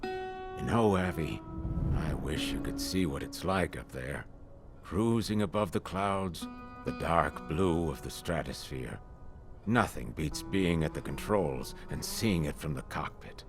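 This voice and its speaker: An old veteran aviator, a gruff but fond grandfather in a video game. His voice is low, his manner folksy and unhurried, with music behind him. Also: animated, heavily acted. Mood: fond, dreamy. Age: older. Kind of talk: scripted game cutscene dialogue.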